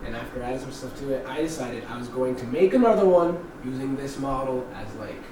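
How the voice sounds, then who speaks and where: A young man telling stories in casual chat, animated and laughing often. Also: mid-range voice, a little fast. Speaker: podcast co-host. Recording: echoey room, distant mic, background noise.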